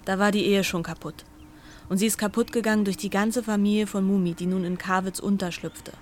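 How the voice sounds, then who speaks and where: A woman's voice: medium pitch, steady tone, fairly quick, a little hiss or hum. An older woman, a former housemaid, recalling the past in an archival interview in a matter-of-fact way.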